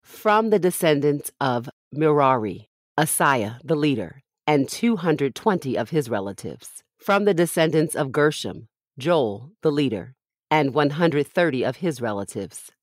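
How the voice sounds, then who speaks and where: A woman's voice: deep voice, big pitch swings, measured pace, no echo, clean studio sound. A woman reading Bible passages aloud from a script as a podcast host.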